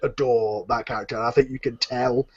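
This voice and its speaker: A man's voice: mid-range voice, steady tone, a little fast, slightly rough. A man who writes an audio drama, talking enthusiastically in a casual panel chat, rambling with many fillers and restarts. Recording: muffled lo-fi recording.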